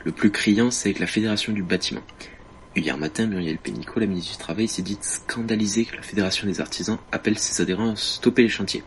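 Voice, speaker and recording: A man reading a political editorial on the radio in a measured, critical, deliberate way. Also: fairly low pitch, a little hiss or hum.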